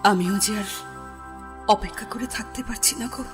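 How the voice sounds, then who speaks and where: An actress playing a middle-aged woman, a soft and wistful lover, in a radio drama. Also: medium pitch, moderate pace, faint music behind, close to an everyday voice. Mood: tender.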